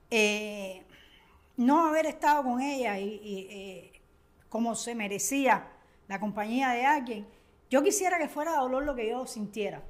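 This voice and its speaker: High-voiced older Cuban woman. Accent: Cuban Spanish. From Cuba. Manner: earnest, reflective, with frequent hesitations. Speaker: interview guest. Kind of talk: conversational interview.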